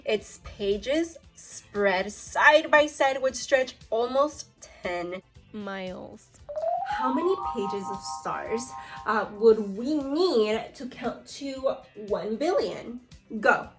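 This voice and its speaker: A woman's voice: on the high side, lively intonation, drawn-out words, music in the background. A woman teaching young children through a read-aloud lesson, reading from a book and prompting pupils with questions and short instructions. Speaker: second-grade teacher.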